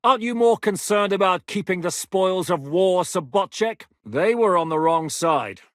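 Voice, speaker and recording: A battle-hardened knight commander from a game, blunt and commanding, swearing freely. His voice sits fairly high and rises and falls in wide, lively swings. The recording is close and completely dry. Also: moderate pace, no room sound. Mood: scornful. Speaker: game character voice lines. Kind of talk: game voice acting.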